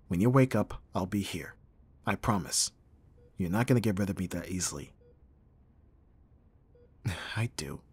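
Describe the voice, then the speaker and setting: A man playing a gruff but tender young bodyguard in an intimate ASMR roleplay, speaking softly with sighs and a husky voice. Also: sits low, full character voice. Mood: caring, reassuring. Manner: soft, intimate, sighing, teasing.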